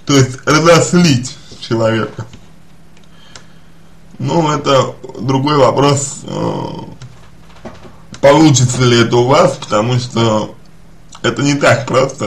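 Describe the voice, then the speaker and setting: Slow, halting man giving advice. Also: medium pitch. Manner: halting, deliberate, repeats and rephrases phrases. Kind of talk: spoken advice to a listener, unscripted.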